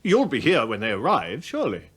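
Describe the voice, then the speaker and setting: A shifty husband in a radio drama, defensive, kinda formal, lots of rise and fall. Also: male voice, mid-range voice, huge pitch swings, brisk, a bit bright, slightly rough, exaggerated voice. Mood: defensive, indignant. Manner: defensive, formal, indignant when challenged. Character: evasive, self-serving husband suspected of killing his wife. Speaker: actor in a radio play.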